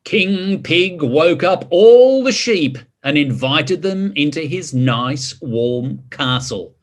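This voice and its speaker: A man reading a picture book to children, expressive, drawing out words and adding chatty asides and questions. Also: a little high, slow. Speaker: story reader.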